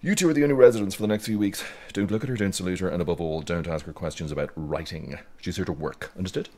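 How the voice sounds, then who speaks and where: A man's voice: low voice, quick pace, darkish tone. A man of about fifty with an Irish accent acting a grumpy, sardonic retreat manager in a radio drama, dry and mock-grandiose. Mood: mock-rapturous, bitter. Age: middle-aged.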